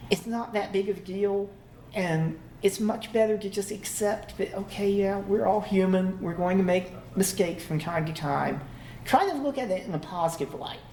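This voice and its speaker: A man giving a relaxed, conversational conference talk to a live audience, laughing easily as he goes. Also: high voice, normal speed. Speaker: conference presenter. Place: conference room. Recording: echoey room, faint background hum.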